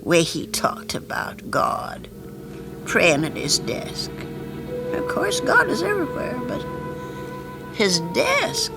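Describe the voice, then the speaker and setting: A woman's voice: mid-range voice, huge pitch swings, slow, gravelly, long pauses, full character voice. An actress playing a kindly, fretful old landlady in an old radio drama, fussing and muttering worriedly. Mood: anxious musing. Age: older. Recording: vintage radio drama with loud music.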